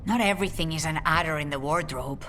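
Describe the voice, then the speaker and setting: A woman playing a determined guild leader in a video game, measured, earnest and authoritative. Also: deep voice, a bit bright, a little hiss or hum. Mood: composed. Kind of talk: dramatic video game dialogue.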